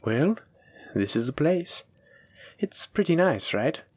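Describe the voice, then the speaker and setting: A man playing a doting, gently possessive dragon-blooded librarian, speaking tenderly and coaxingly to his sweetheart in an intimate audio roleplay. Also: fairly high pitch, very animated, takes it slowly, no echo, heavily acted. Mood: caring, gentle. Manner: tender, coaxing, affectionate, reassuring. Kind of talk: intimate scripted audio roleplay addressed to the listener.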